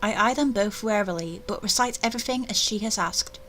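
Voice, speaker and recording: A woman reading a visual novel aloud as its player, with expressive narration and casual asides. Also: mid-range voice, a little fast, slight background noise, rough recording.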